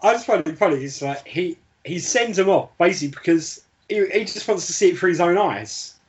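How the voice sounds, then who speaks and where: A man's voice: sits high, lively intonation, fairly quick, lo-fi sound, slight background noise. A man co-hosting a podcast, chatting casually and informally about a book. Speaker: podcast co-host. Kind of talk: casual book discussion chat.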